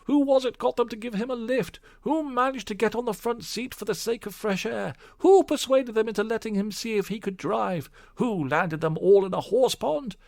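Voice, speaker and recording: Conceited male toad, voice pitched far up, pompous and boastful. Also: moderate pace, vocal fry, few pauses, a little mellow. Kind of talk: storybook audiobook acting.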